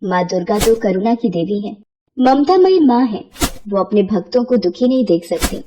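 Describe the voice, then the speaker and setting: A woman narrating a scripted bedtime moral story in an expressive storytelling manner. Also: medium pitch, normal speed, dull audio, a little hiss or hum.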